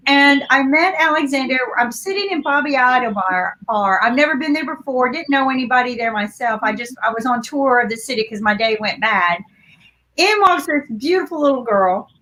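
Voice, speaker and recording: A woman panelist telling a story in a lively, chatty way, laughing as she goes. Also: high voice, a little fast, low-quality recording. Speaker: panelist, music producer. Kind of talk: casual storytelling.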